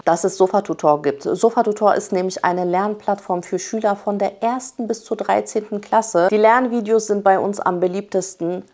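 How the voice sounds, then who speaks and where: A woman's voice: medium pitch, a little mellow. Upbeat woman doing a sponsor read. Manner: upbeat, enthusiastic. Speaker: vlogger, parent.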